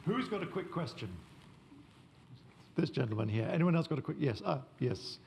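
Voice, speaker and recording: A man chairing a panel, briskly calling on questions and closing the session. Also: fairly high pitch, lively intonation, vocal fry, a little mellow, a little hiss or hum. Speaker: moderator.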